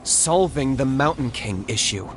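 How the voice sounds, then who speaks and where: A man voicing a cool, pragmatic young male hunter who talks calmly and gives clipped orders in a video game. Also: mid-range voice, a little slow, a little hiss or hum, close to an everyday voice. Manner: calm and clipped, commanding in battle.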